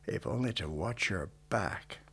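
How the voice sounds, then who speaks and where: A man's voice: fairly low pitch, expressive, measured pace, gravelly, fairly bright tone, breathy edge, full character voice. An actor playing a sly western lawman with delusions of grandeur, falsely genial and menacing, in a radio drama. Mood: smug, menacing. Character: sly, scheming Texas Ranger with delusions of grandeur.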